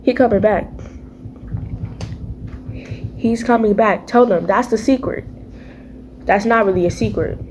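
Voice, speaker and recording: A raspy-voiced teenage girl talking casually about her faith at home, chatty and playful, calling her viewers y'all and baby. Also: medium pitch, measured pace, pauses often, darkish tone, a little hiss or hum. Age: teens. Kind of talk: casual faith vlog chat. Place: home, early morning.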